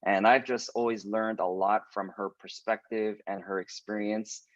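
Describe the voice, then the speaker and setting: A lower-voiced man hosting a church webinar over a video call. He talks casually and hesitantly, with plenty of "like" and "um". The audio is dry and a bit dull and lo-fi. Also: fairly even intonation, moderate pace, dry recording. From United States. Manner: hesitant, many fillers and "like".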